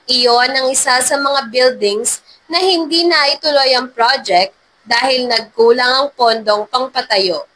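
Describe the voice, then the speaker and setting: A woman's voice: high-pitched, a little slow, fairly bright tone, a little hiss or hum, poor audio. A woman reading a scripted dramatic story as storyteller.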